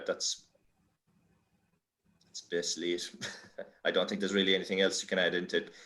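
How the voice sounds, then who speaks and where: Low-voiced, halting Irish man presenting. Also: a bit bright. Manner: halting, self-deprecating. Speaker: workshop presenter. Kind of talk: informal tutorial explanation.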